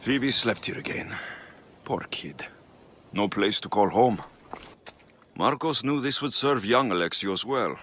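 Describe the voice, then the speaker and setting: A man's voice: lowish voice, expressive, unhurried, long pauses, fairly bright tone, slight background noise. A man playing a cocky, wry young mercenary with a Greek accent in a video game. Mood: menacing, weary. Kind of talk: video game cutscene acting.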